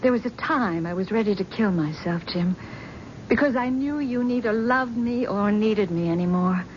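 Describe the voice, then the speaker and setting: An actress playing a devoted, wounded wife in a 1970s radio drama, tender and pleading. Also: female voice, mid-range voice, normal speed, a little mellow, natural voice. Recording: dull old radio broadcast with faint hiss.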